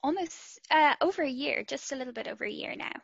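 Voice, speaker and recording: A woman guest on a podcast, talking in a warm, hesitant way over a video call. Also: high voice, expressive, fast, creaky, dry recording. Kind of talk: casual chat. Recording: dry, dull video call audio.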